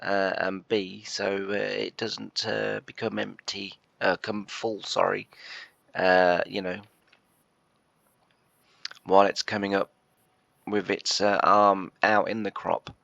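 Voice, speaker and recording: A man with a croaky, bunged-up voice from a chest cold, rambling through casual gameplay commentary with lots of hesitations. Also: on the low side, level tone, takes it slowly, pauses often, a bit bright, no room sound, highs cut off. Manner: rambling, hesitant, full of "uh" and restarts. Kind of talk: casual let's-play commentary.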